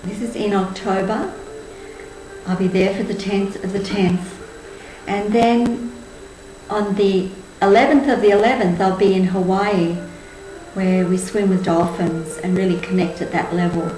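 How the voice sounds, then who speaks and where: A woman's voice: medium pitch, steady tone, measured pace. Woman in her sixties, echoey room. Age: 60s. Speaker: spiritual group tour leader and presenter. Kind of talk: spoken presentation to camera. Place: indoors, small room with cloth backdrop. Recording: roomy echo, faint background noise.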